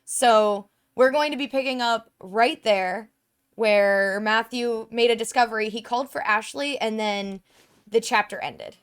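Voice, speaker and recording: A woman's voice: fairly high pitch, measured pace, clean tone. A woman giving casual gameplay commentary into a desk microphone at home, chatty and full of "um" and "like". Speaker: let's play gamer.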